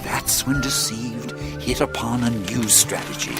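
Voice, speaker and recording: An actor playing a wise, solemn storyteller in an audio drama, with a theatrical, deliberate delivery. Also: male voice, mid-range voice, gravelly, over music. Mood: storytelling. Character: wise, solemn storyteller recounting a fallen kingdom's tale. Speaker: narrator.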